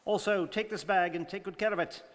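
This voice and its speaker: A man in a stage radio drama playing a precise English gentleman adventurer, formal, clipped and imperturbable. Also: high voice, fairly even intonation, a little fast, natural voice. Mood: brisk, commanding. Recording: reverberant studio hall.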